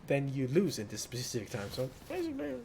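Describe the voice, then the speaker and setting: A man's voice: mid-range voice, huge pitch swings, fast talker, vocal fry, a loud crowd. A man giving casual, rambling let's play commentary with wry asides. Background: game music.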